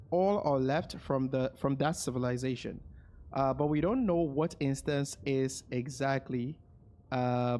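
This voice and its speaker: A man's voice: mid-range voice, a little mellow. A man doing casual live game commentary as a streamer, thinking aloud hesitantly.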